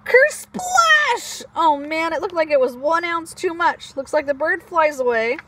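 High female voice, very animated, preschool teacher, picture book read-aloud, expressive storytelling. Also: unhurried, heavy background noise.

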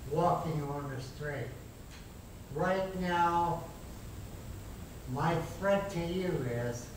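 A man in his eighties making a loud, emphatic, rambling public comment at a city council meeting. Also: on the high side. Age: 80s. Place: large council chamber, speaking from a chair. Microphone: far room mic. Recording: echoey chamber from far off with hiss.

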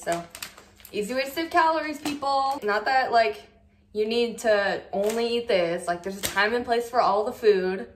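A woman's voice: medium pitch, slight background noise. Chatty young woman in an echoey kitchen. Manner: chatty, lots of "like", showing items to camera. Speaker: lifestyle vlogger. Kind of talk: casual grocery haul vlog. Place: home kitchen, indoors.

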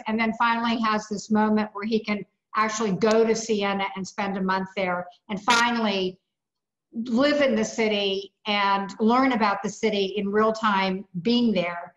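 Halting woman on an echoey video call. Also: mid-range voice. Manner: halting, many "um" and "uh" fillers. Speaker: audience member commenting. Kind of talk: casual comment on a video call. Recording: echoey room over dull lo-fi call.

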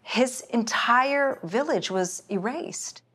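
A woman's voice: a little high, expressive, slow, a bit bright, slightly rough. A middle-aged woman from Palestine, a human rights lawyer, speaking earnestly and firmly about her family in a panel discussion. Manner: earnest, personal, firm. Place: indoor studio set.

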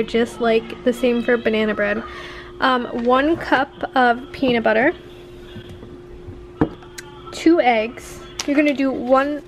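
A woman's voice: high voice, a little hiss or hum. A young woman chatting casually to viewers as she talks through family recipes in a voice-over. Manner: chatty, talking straight to viewers with fillers. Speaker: family vlogger.

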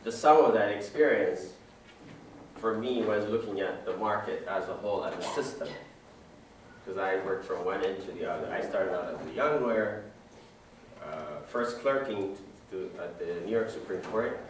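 Deep-voiced man lecturing in an echoey room. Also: moderate pace, distant mic, a little hiss or hum. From Ethiopia. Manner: conversational, many fillers and restarts. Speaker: guest lecturer. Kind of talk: classroom talk. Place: large dim lecture room. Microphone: far camera.

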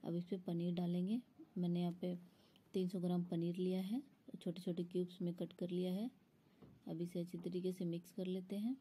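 A woman's voice: fairly low pitch, flat delivery, normal speed, dark tone, husky. A woman explaining a recipe step by step in an instructional voice-over, as a home cook. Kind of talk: step-by-step cooking tutorial voice-over.

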